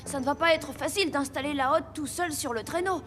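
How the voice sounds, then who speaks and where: A woman's voice: high voice, a little fast, a bit bright. A woman voicing an eager, earnest orphan boy apprentice in a cartoon. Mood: eager, amazed. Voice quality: youthful, boyish. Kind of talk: French cartoon dubbing. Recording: music under the voice.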